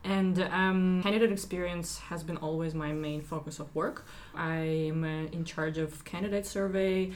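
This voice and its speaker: Woman, fairly low voice. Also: fairly even intonation, unhurried, a little hiss or hum. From Ukraine. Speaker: recruiting team lead. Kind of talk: interview answers.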